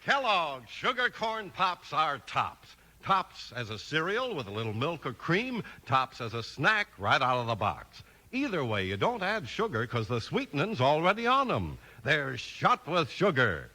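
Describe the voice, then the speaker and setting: A man's voice: fairly high pitch, huge pitch swings, measured pace, bright, forceful tone. A man doing polished, emphatic scripted announcing and narration for a 1950s radio show. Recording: old 1950s radio recording.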